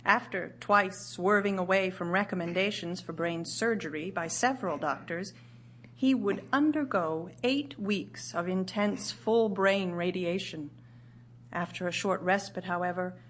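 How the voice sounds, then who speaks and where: An actress playing a grieving widowed writer, narrating in a measured, solemn, literary way for audio theatre. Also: female voice, medium pitch, a little hiss or hum, lightly acted. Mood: grave. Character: grieving widowed woman writer recounting her husband's last year.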